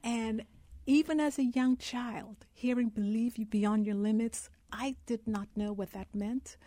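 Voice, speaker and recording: A woman's voice: a little high, fairly even intonation, unhurried, mellow, no echo. A woman from Guyana, a guest in an interview, telling her life story in an earnest, heartfelt way.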